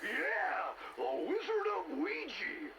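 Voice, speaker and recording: An actor voicing a big, friendly furry creature with drawn-out, exaggerated speech in a children's read-along story. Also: high-pitched, huge pitch swings, creaky, heavily acted. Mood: friendly, helpful. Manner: drawn-out, wide-swooping delivery. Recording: noisy 1980s cassette.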